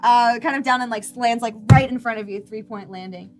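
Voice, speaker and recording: A woman playing a tabletop roleplay game, improvising the story in casual, slangy, excitable talk. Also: a little high, fairly quick, faint music behind.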